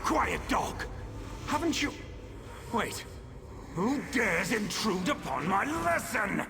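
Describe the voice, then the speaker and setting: Smug demon prince villain for a game, slow mock-polite drawl, super animated, gleefully menacing. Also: male voice, high-pitched, creaky, noisy background, exaggerated voice. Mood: irritated. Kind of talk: video game villain acting.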